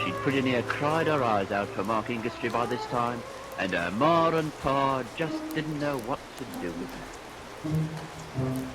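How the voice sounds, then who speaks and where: An actor playing a folksy, wry storyteller, narrating a vintage radio melodrama from a script. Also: male voice, mid-range voice, lightly acted, poor audio. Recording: old radio recording, loud rain noise.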